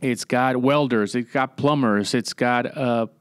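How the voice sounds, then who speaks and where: A middle-aged man from Puerto Rico, a former Navy captain, giving measured, matter-of-fact answers as a podcast guest with frequent pauses and "uh" fillers. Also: mid-range voice, clear, resonant tone, dry recording, studio quality. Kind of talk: conversational interview answers.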